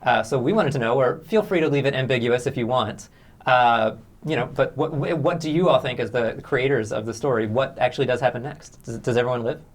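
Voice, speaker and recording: A man's voice: mid-range voice, a little fast. Male interviewer with hesitant phrasing. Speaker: co-host interviewer. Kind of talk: studio interview. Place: TV studio.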